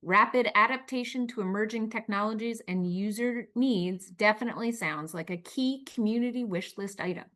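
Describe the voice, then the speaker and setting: A woman's voice: mid-range voice, moderate pace, hardly any pauses, a bit bright. A woman hosting an awards announcement, reading an upbeat scripted commendation. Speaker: event host announcing winners. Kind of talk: read announcement.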